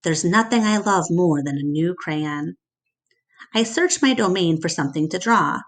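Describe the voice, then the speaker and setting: A woman reading a children's story aloud as expressive narration. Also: on the low side, normal speed.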